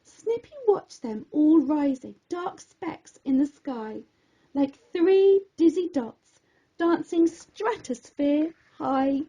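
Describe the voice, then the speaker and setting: High-voiced, slow-paced woman storyteller. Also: dull audio. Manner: theatrical, expressive. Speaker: children's storyteller. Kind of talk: expressive rhyming bedtime story narration.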